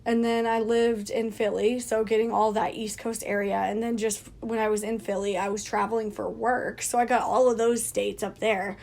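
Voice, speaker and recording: A woman's voice: on the high side, flat delivery, moderate pace, gravelly, few pauses, darkish tone. A young woman with a scratchy voice, co-hosting a casual podcast and laughing often. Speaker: podcast co-host. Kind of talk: casual chat. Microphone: leaning close to a phone.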